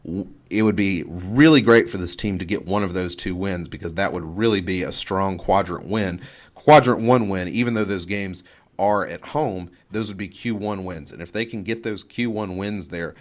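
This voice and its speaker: A man's voice: low voice, normal speed. A man giving off-the-cuff sports analysis in an explanatory way, often restarting and repeating his phrases. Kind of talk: off-the-cuff college basketball analysis.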